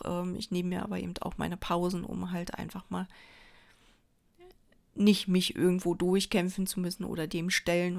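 Chatty woman with a gravelly edge. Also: lowish voice, darkish tone. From Berlin, Germany. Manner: chatty, rambling, many fillers and restarts. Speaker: crafting vlogger. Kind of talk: casual chat while crafting. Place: indoors at a table.

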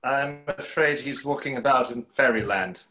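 A young man playing a bitter, enigmatic stage conjurer in a play reading, dry and deliberate. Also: mid-range voice, bright, forceful tone, lightly acted, low-quality recording. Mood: dry, sardonic. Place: plain room at home, video call.

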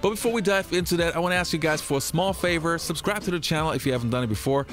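A man hosting a basketball history show, reading a scripted ranked list in an animated presenter manner. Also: on the high side, racing. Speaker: basketball history channel host. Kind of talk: scripted narration. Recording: background music under voice.

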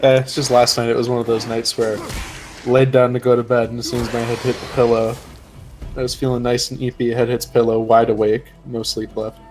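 A man's voice: medium pitch, level tone, a little fast, darkish tone, poor audio. Sleep-deprived young man, casual and jokey. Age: late 20s. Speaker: guest on a gaming stream. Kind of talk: casual chat. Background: game music.

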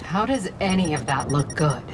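A woman acting a curt, guarded young vigilante in a video game, with loud music behind her. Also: deep voice, lively intonation, moderate pace, a little hiss or hum. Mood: dry, focused. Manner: curt, dry.

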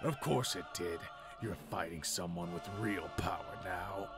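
A man playing an arrogant, menacing alien warrior villain in an amateur anime game roleplay, taunting with deliberate menace. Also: low-pitched, vocal fry, a bit bright, faint music behind, exaggerated voice. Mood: furious, boastful.

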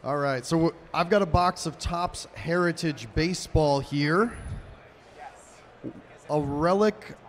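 A young man with a slightly high voice, hosting a live stage show in an upbeat, chatty way as he talks to the crowd. He speaks into a handheld mic in a large convention hall, with a faint wash of hall noise behind him. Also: slow. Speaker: stage host.

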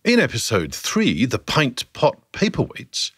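A man narrating a scripted story for a podcast in a theatrical, measured way with dramatic pauses. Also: medium pitch, wildly swooping pitch, a bit bright, husky, no room sound, clean studio sound.

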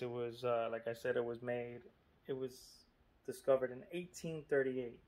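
Guy talking casually to his viewers, lots of "you know" and "look", normal middle pitch. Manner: chatty and informal, lots of fillers and asides. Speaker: vlogger. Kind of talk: casual vlog narration.

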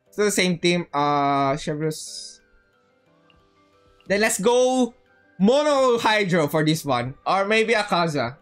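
A woman livestreaming a video game, chatting casually and bantering with viewers, often repeating her words and phrases. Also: medium pitch. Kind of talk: casual gameplay chat with viewers.

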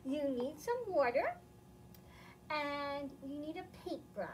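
A middle-aged American woman speaks to children as a storytime presenter. Her voice is fairly high and she takes her time, keeping explanations simple. Faint rumbling noise sits behind her. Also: very animated, slow. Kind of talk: children's read-aloud and simple instruction. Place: indoor library, seated among bookshelves. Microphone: camera several feet away.

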